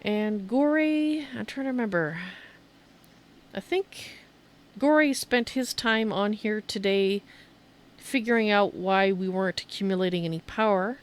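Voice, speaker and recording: A woman's voice: mid-range voice, measured pace. A woman streamer giving casual video game commentary, rambling and thinking aloud as she goes.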